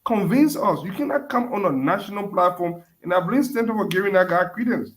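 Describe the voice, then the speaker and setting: A man's voice: sits high, expressive, fairly quick. Insistent man. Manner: emphatic, builds to insistent demands. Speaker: caller. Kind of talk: heated call-in debate.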